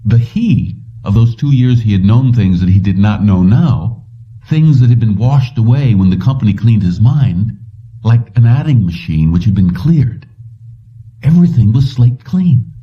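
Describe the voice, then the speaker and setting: A man's voice: expressive, unhurried. A man reading a science fiction story aloud as scripted audiobook narration. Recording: distant, dull low-fidelity audio.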